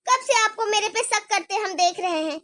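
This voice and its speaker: A woman's voice: very high voice, moderate pace, pushed and bright, no echo. A woman playing a scheming elder daughter-in-law who fakes sympathy and whispers sly asides to the viewers in a homemade family drama skit. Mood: defensive.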